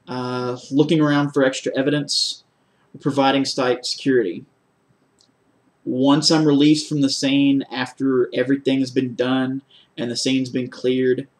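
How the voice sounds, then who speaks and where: A man with a mid-pitched voice speaks as a candidate in a formal job interview. He is measured and methodical, laying out his answers step by step. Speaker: job candidate for a security officer post.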